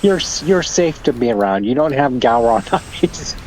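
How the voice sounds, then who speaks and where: A man's voice: mid-range voice, expressive, normal speed, darkish tone, a little hiss or hum. A man co-hosting a livestream, chatting casually and hesitantly, often laughing. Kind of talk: casual chat.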